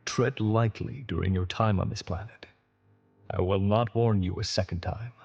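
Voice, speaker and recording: An actor playing a stern, honorable veteran alien admiral in a video game, speaking in a formal, measured, authoritative way. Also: male voice, on the low side, fairly even intonation, vocal fry, darkish tone, husky, highs cut off. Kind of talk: video game cutscene acting.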